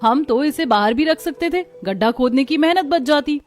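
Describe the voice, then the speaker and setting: A woman's voice: high voice, expressive, normal speed, faint music behind. A woman voicing a curious, doubting younger daughter-in-law in a village cartoon, with a questioning, fretful manner. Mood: curious, doubtful. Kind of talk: scripted cartoon dialogue.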